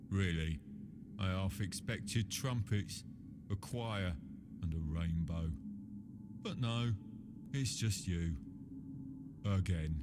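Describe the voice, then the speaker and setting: An actor playing a sardonic, flippant servant of a feared boss in a video game, with wry, theatrical delivery. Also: male voice, lowish voice, noisy background. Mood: mocking.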